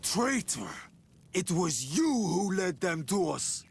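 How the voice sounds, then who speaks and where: An actor playing a grave, distrustful rebel chief in a fantasy video game, stern and measured. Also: male voice, sits high, very animated, creaky, slight background noise, exaggerated voice. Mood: angry.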